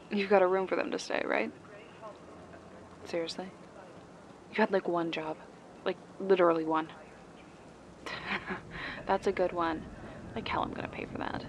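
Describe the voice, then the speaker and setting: A woman's voice: medium pitch, slight background noise, close to an everyday voice. A woman playing a sarcastic female private detective in a noir ASMR roleplay, with a dry, casually streetwise delivery. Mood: annoyed, sarcastic. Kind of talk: noir ASMR audio roleplay, intimate second-person acting. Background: music, rain and city ambience.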